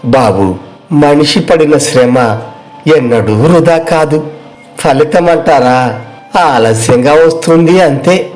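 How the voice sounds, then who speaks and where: A man playing an earnest, humble village farmer in a moral story, speaking in a measured, sincere way. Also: on the high side, lively intonation, darkish tone, soft background music, low-quality recording. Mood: patient.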